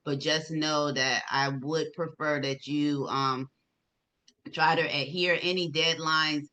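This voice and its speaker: Bright, forceful woman leading a conference call. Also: deep voice, steady tone, slow, slight background noise. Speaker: conference organizer and host. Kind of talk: informal presentation to a group on a conference call.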